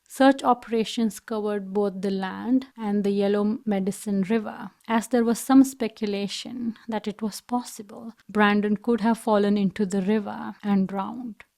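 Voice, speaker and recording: A woman's voice: medium pitch, gravelly, warm tone. Woman narrating a true crime story. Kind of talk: scripted documentary narration.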